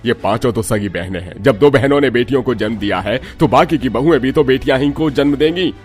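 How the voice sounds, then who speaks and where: A man voicing a harsh, domineering older father-in-law in a cartoon drama, scolding with a husky voice. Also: mid-range voice, fairly quick, faint music behind, close to an everyday voice. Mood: angry, domineering. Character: harsh older patriarch father-in-law throwing out his daughters-in-law.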